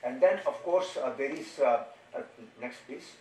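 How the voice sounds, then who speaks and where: A man's voice: mid-range voice, moderate pace, noisy background. Indian man lecturing in an echoey room. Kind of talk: formal lecture with slides. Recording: echoey room, distant microphone.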